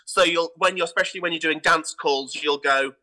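British guy on a podcast interview, really bright forward voice, bit high, chatty and keen. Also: fairly even intonation, a little fast. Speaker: theatre artistic director, podcast guest. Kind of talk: casual interview chat.

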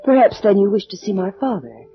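A woman's voice: mid-range voice, lively intonation, a little fast, natural voice. Woman playing a passionate egyptian lady in an old radio drama, formal and poised, muffled sound w faint music. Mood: coy, teasing. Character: proud, passionate ancient Egyptian noblewoman in love. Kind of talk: 1940s-50s radio drama acting.

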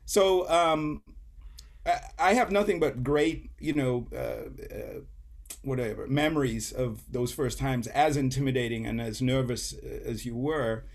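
A middle-aged man from Saint Kitts talks as a guest musician in a relaxed interview. He rambles and doubles back, and he laughs often between his thoughts. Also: medium pitch, unhurried.

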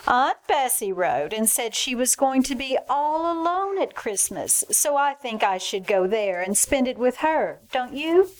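Meddling older mother-in-law, female actor, radio sitcom. Unhurried, swooping delivery, sugary condescension, fluttery hesitations. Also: high voice, very animated, low-quality recording. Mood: falsely apologetic. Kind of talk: 1940s radio comedy acting. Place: live stage show.

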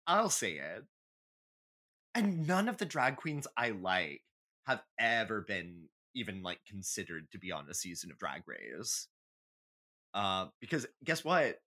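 A man's voice: on the low side, sing-song, measured pace, bright, forceful tone. A man co-hosting a podcast, chatting casually and comedically in an emphatic, opinionated way with some swearing. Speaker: podcast host.

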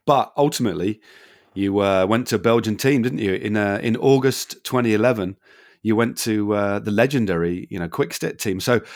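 Low-voiced, chatty male interviewer. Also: dry recording, studio quality. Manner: chatty, rambling questions with many fillers. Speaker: interviewer, podcast host. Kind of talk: casual interview.

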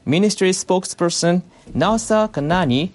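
News reader for scripted bulletin. Mid-pitched, measured, formal.

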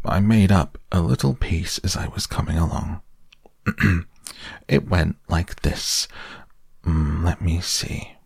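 A man acts out a gentle storybook bear of humble wits. The voice is low and creaky, and it plods along slowly and hesitantly. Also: dry recording, clean studio sound, exaggerated voice. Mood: shy, hesitant. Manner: hesitant, ponderous. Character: slow, humble, gentle male toy bear.

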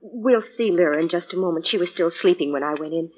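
A woman's voice: lowish voice, fast talker, husky. Worried, gracious lady, muffled recording. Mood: gracious, subdued. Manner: hesitant, earnest. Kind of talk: 1940s radio acting. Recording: dull lo-fi 1940s radio recording.

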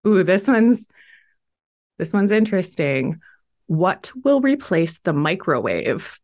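A woman's voice: on the low side, sing-song, takes it slowly, darkish tone, no echo, studio quality. Bubbly Wisconsin woman hosting a podcast. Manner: bubbly, laughs often. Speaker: podcast host. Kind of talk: upbeat podcast interview.

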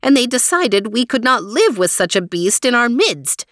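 A woman voicing an earnest, steady young male fairy in a storybook reading. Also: a little high, moderate pace, no room sound, studio quality.

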